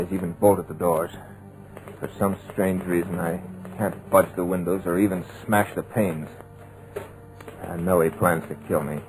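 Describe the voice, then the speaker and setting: An actor playing a wry, trapped screenwriter in a 1950s radio drama, with a husky, hard-boiled, wisecracking delivery in an old radio recording. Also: male voice, low-pitched, lightly acted, low-quality recording. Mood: brooding. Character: wry, trapped male screenwriter, tough-talking.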